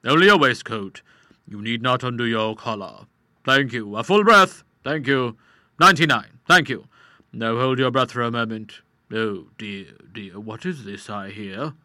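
A man's voice: medium pitch, measured pace, sharp tone, no room sound, clean studio sound. A man voicing a fat, greasy quack doctor who speaks fussily and tut-tuts with mock concern. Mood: fussy. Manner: fussy, tut-tutting and ominous.

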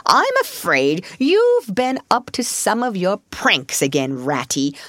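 A woman voicing a gruff old male badger from a storybook, grumbly but fatherly, fussing and exclaiming. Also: medium pitch, wildly swooping pitch, slow, fairly bright tone, no echo, clean studio sound, exaggerated voice. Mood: paternal, kindly. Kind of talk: storybook character voice.

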